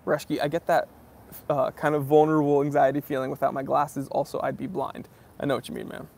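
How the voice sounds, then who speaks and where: Gravelly-voiced man hosting a casual Q&A. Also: medium pitch, little pitch movement, brisk, a little mellow. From New England, US. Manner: reads viewer comments aloud, answers in a friendly easygoing way. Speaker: host of a watch channel. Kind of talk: casual livestream Q&A.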